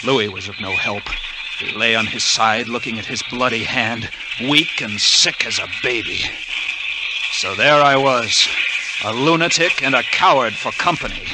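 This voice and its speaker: An actor playing a tense, resolute lighthouse keeper, narrating a 1940s radio suspense drama in a dramatic, deliberate way. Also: male voice, mid-range voice, very noisy, close to an everyday voice. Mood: weary, grim. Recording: old 1940s radio broadcast with organ music.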